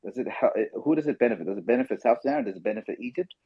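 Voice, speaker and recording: A man hosting a casual podcast discussion, telling stories animatedly and quickly mimicking others in asides. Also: lowish voice, animated, breathy edge, no room sound, dull audio. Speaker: podcast host.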